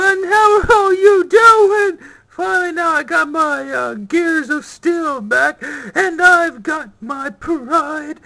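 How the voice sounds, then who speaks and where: A man voicing an excitable, boastful little teddy bear who hosts a homemade puppet show. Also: extremely high pitch, pitched above the performer's own voice, heavily acted. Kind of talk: improvised puppet comedy skit. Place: small room at home. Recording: noisy home camera audio.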